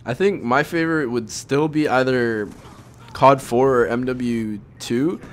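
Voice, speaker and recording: A man's voice: medium pitch, measured pace. A young man hosting a gaming show, chatting casually in a rambling, hedging way. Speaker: host of a gaming video series. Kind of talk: casual chat over gameplay.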